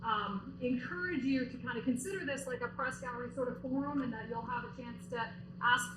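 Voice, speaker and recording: A fairly high-voiced woman gives a chatty, rambling introduction at a university event, with plenty of fillers and side remarks. Her voice rings around an echoey lecture room, and a steady noise sits beneath it. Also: fairly quick. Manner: chatty, warm praise with asides and fillers.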